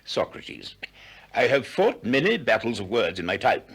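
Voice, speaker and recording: An actor with a British accent playing a proud, pompous, easily ruffled Greek sophist in a 1960s radio play, declaiming testily. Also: male voice, fairly low pitch, very animated, normal speed, fairly bright tone, husky, full character voice.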